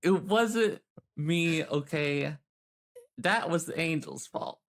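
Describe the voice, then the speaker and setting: A cocky young student of magic as a tabletop player voices them, blunt and slangy. The voice sits mid-pitched with a gravelly creak, and sounds quick to defend itself. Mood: casual, excusing. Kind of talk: improvised tabletop roleplay in character.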